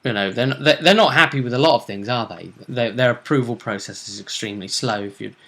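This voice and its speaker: A man co-hosting a gaming vidcast, giving a casual, measured recap and commentary in slightly formal wording. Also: mid-range voice, fairly bright tone. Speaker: co-host of a tabletop roleplaying vidcast.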